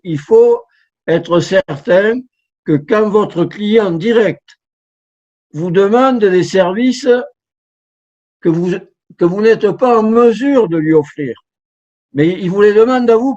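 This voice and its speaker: A man's voice: high-pitched, a little mellow. A middle-aged man, a professional translator, giving an informal conference talk from home over a video call, with frequent restarts and repeated words. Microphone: computer mic on a video call. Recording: dull lo-fi video call audio.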